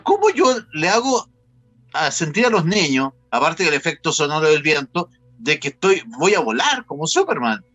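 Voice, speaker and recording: Chilean male host, bright tense voice, dry close sound, colloquial and animated, music behind. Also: fairly high pitch, dry recording. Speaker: interview host. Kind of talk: casual conversational interview.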